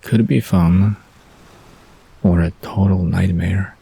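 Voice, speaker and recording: A man playing a low, smirking, conspiratorial charmer. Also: steady tone, slow pace, warm tone, full character voice. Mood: amused, teasing. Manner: smooth, half playful, half conspiratorial. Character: smirking, playful, conspiratorial young man teasing his companion. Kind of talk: romantic bedtime audio drama acting.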